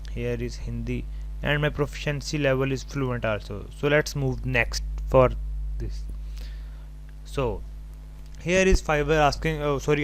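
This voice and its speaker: A man presenting an unscripted step-by-step tutorial, haltingly thinking aloud as he types. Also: medium pitch, poor audio. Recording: home recording with clear background noise.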